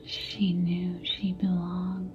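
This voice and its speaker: A woman telling stories as an ASMR host in a gentle, hushed whisper with long pauses. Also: lowish voice, completely flat delivery, dull audio. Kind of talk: ASMR whispered bedtime storytelling.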